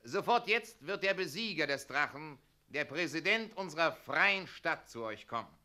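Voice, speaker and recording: Officious mayor, radio play, very sharp forceful voice, highly animated, pedantic, bossy. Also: male voice, moderate pace. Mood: bossy coaching. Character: fussy, officious town mayor drilling servile citizens.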